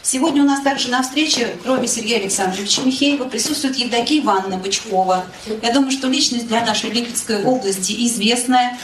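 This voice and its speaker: A woman moderating a public meeting, introducing the guests in a measured, formal way. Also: fairly high pitch, a little hiss or hum. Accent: Russian. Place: meeting hall. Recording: echoey hall, mic at a distance.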